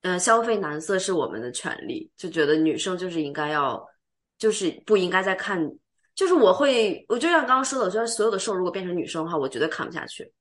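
A woman's voice: on the low side, very animated, quick pace. A woman co-hosting a podcast, chatting casually and emphatically about her opinions and mixing in English words. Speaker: podcast co-host.

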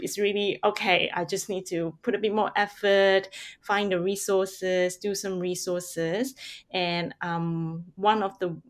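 A woman from Singapore, first language Hakka, chatting as an interview guest with many pauses and restarts. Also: lowish voice. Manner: hesitant, many ums and restarts. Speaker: guest, parent and blogger. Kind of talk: casual interview chat.

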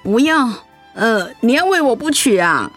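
An actress playing a sensitive, affectionate but easily hurt middle-aged wife in a radio drama, with a Taiwanese accent and a pouting, questioning manner. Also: female voice, on the high side, big pitch swings, unhurried, a bit bright, faint music behind, full character voice. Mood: indignant.

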